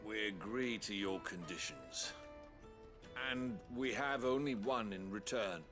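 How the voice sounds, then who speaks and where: An actor playing an earnest, contrite town leader in a fantasy game, speaking solemnly and formally. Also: male voice, lowish voice, expressive, slow, music in the background. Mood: solemn, resolved. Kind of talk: dramatic video game dialogue.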